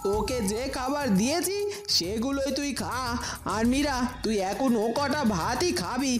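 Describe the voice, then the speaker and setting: Cartoon stepmother: high, swooping, scolding and sharp-tongued, loud music underneath. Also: expressive, normal speed. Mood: bossy scolding. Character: scheming, irritable village stepmother, a middle-aged wife. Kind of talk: Bengali cartoon dubbing.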